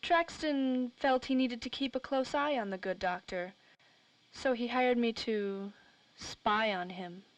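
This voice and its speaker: A woman's voice: on the high side, takes it slowly, low-quality recording. A woman playing a cool, secretive, wry femme fatale in 1930s radio drama style. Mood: explaining, composed. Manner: wry, slangy.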